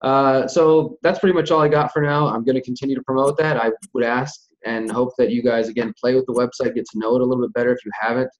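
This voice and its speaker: A man's voice: mid-range voice, fairly even intonation, fast, hardly any pauses. An American man hosting a group conference call, explaining things informally and step by step in a conversational way. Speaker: host of a community organizing conference call. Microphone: conference call audio. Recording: dull, lo-fi conference call audio.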